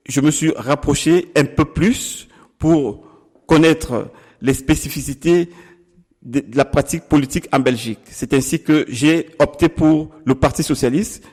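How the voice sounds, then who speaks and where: Middle-aged candidate from Cameroon, fairly high voice, formal and deliberate, in a room with long reverb. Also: male voice, darkish tone. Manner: deliberate, formal, with pauses and some restarts. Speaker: political candidate. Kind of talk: measured campaign interview answers.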